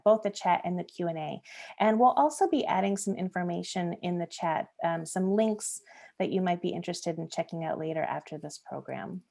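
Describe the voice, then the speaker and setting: A woman's voice: fairly low pitch, creaky, warm tone. A woman hosting a live online book event, welcoming guests and asking questions with frequent fillers. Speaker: museum program host. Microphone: video call.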